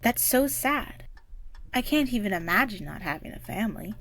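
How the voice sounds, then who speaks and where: A woman playing a friendly, caring security guard coworker in an audio drama, with a gently teasing manner. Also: fairly high pitch, expressive, normal speed. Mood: gentle, sympathetic.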